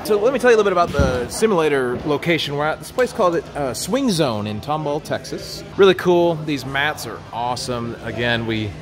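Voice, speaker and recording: A man in his thirties co-hosting a golf vlog, giving playful commentary with mock sportscaster asides in an indoor golf simulator bay. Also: fairly high pitch.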